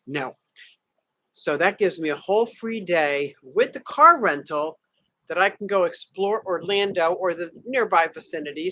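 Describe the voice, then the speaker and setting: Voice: medium pitch, fairly bright tone, dry recording. A livestream host chatting casually with viewers from a vacation room, joking around and greeting people by name. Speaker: livestream host reading chat. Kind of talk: casual live chat.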